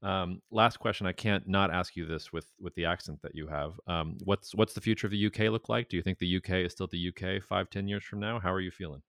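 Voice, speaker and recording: A man's voice: low voice, fairly even intonation, fairly quick, few pauses, fairly bright tone, no echo, clean studio sound. A man hosting a podcast interview, asking thoughtful, searching questions. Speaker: podcast host.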